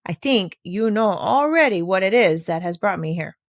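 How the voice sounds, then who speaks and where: A woman's voice: mid-range voice, expressive, moderate pace, no echo, clean studio sound, lightly acted. A woman voicing a kindly old village pastor in a storybook, earnest and formal in manner. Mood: friendly.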